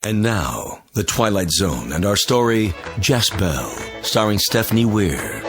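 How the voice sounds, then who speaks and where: A man's voice: fairly low pitch, very animated, a little slow. A man announcing a radio drama and its cast in scripted style. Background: music.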